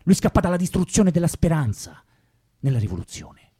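A young revolutionary orator in a radio play, impassioned and deliberate. His pitch rises and falls widely, in a soft, slightly husky voice with a very dry, close sound. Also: sing-song, no echo. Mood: reflective, fervent. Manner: rhetorical, deliberate, swelling and dropping. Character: impassioned young revolutionary man orating about Russian poets. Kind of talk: dramatic political monologue in radio drama.

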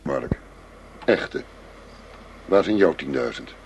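A man's voice: rumbling low, animated, takes it slowly, full character voice. An actor playing a shrewd undercover policeman posing as a tough dealer, firm and businesslike, in a radio drama. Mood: hard, businesslike. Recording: noisy radio play recording with ambience.

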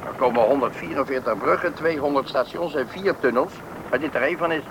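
An actor playing a brisk, helpful railway construction official in a radio drama. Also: male voice, medium pitch, close to an everyday voice. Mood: proud, explaining. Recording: clearly noisy outdoor radio play scene.

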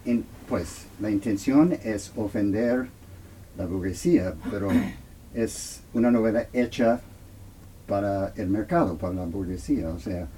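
A man speaking Spanish as his second language, haltingly searching for words as he puts a question at a talk. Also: lowish voice, mellow, slight background noise, low-quality recording. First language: not Spanish, Spanish as second language. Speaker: audience member asking questions. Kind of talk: unscripted question at a book talk.

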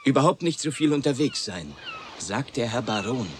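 An actor playing a stern, pompous village pastor who speaks in a formal, admonishing, measured way in a radio drama. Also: male voice, medium pitch, very animated, noisy background, close to an everyday voice. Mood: stern, reproving. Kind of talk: 1980s German radio drama acting.

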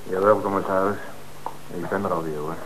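An actor playing a polite, obliging bank porter in an old radio play, on a hissy old radio recording. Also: male voice, low voice, steady tone, fairly quick, natural voice. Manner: polite, deferential. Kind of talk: 1960s radio play acting.

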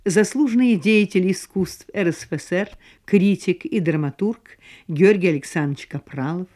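A woman's voice: on the low side, normal speed, a little mellow. A woman hosting a radio programme in formal, scripted narration. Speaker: radio host.

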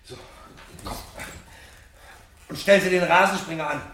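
Actor as weary fugitive, distant roomy sound. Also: male voice, fairly high pitch, steady tone, moderate pace, a bit bright, lightly acted. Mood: urgent, practical. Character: male fugitive fireman, weary, urgent, grimly determined. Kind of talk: 1970s German radio drama acting. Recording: roomy, distant, faintly noisy vintage recording.

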